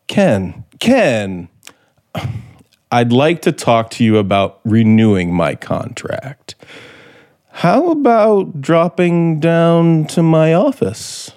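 Embittered American radio scriptwriter, 1950s thriller; deliberate, slow, animated intonation, old broadcast sound. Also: male voice, a little high. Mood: pleading, restrained. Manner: theatrical, sardonic, deliberate. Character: bitter, menacing frustrated male radio scriptwriter plotting murder. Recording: vintage 1950s radio recording with music.

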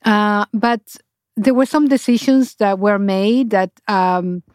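A middle-aged woman from Honduras with a Honduran accent and native Spanish, speaking haltingly as an interview guest telling her own story. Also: mid-range voice, fairly even intonation, a little mellow, no room sound, studio quality. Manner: halting, searching for words. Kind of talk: conversational personal storytelling.

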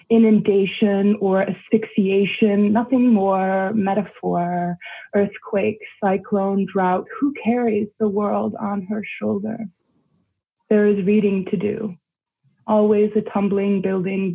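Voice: mid-range voice, breathy edge. A young adult reading a poem aloud, then chatting casually about it, rambling with many fillers. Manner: rambling, heavy with fillers in chat. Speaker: poet and guest. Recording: tinny phone-like line.

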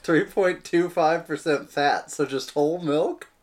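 A man's voice: fairly high pitch, measured pace, over a noisy crowd. A man with an American accent co-hosting a podcast, chatting casually and jokily about sports in a wry, bantering way. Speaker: podcast co-host. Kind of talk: casual joking sports chat.

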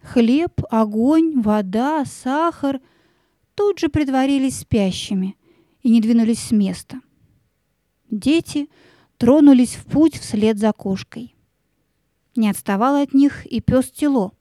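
A woman narrating a children's story in a measured, expressive way, drawing out words for dramatic effect. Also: a little high, dry recording.

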